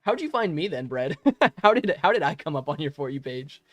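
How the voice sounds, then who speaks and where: A man's voice: fairly high pitch, very animated, a little fast, a bit bright, no echo. Chatty young man live streaming. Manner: friendly, rambling, laughs often, many fillers and restarts. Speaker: live streamer answering chat. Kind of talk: casual live-stream chat while gaming. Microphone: modest home microphone.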